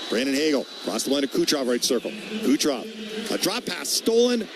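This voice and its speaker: A man's voice: medium pitch, very animated, fairly quick, a crowd in the background. A man doing live radio hockey play-by-play in clipped, short phrases that name players as the action moves. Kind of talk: live play-by-play sports commentary. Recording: noisy arena ambience behind the voice.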